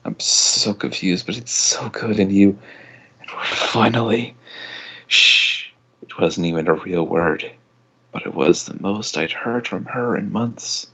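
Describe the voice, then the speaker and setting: A man's voice: sits low, unhurried, sharp tone, slightly rough, low-quality recording. A man giving an amateur dramatic reading of fan fiction as a story narrator. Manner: breaks into laughter at the text.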